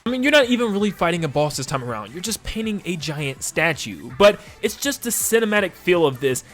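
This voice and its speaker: A man with a fairly high voice hosts a video game retrospective over background music. His delivery is very animated and emphatic, stretching stressed words as he gushes about what he is reviewing. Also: brisk. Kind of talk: enthusiastic game retrospective commentary. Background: game soundtrack music under the voice.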